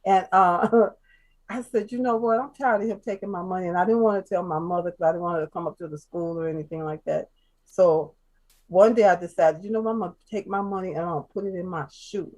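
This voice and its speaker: A woman from Chicago, a guest telling her life story in casual chat, soft-spoken and halting, with many fillers and restarts. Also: fairly low pitch, steady tone, low-quality recording. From Chicago, USA.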